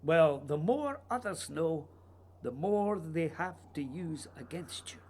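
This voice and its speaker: A woman playing a proud, secretive male playwright in a radio play, speaking formally and deliberately in a husky voice. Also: deep voice, animated, darkish tone, a little hiss or hum. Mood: guarded.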